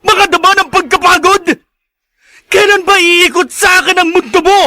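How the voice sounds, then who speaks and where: An actor playing an earnest, conciliatory young husband in a radio drama, with a Filipino accent. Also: male voice, extremely high pitch, fairly even intonation, moderate pace, sharp tone, dry recording, heavily acted. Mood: hurt, weary.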